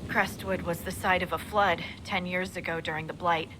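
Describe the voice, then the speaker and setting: A woman's voice: on the low side, fairly even intonation, moderate pace, background noise, natural voice. An actress voicing a wry, practical scout who briefs her leader matter-of-factly. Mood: dry. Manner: dry, matter-of-fact. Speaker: scout reporting to the Inquisitor.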